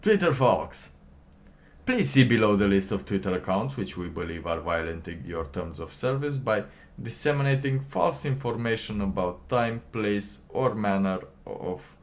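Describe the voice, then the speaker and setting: A man giving casual livestream commentary and reading documents aloud, halting and rambling, often pausing mid-sentence. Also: lowish voice, animated. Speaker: livestream host.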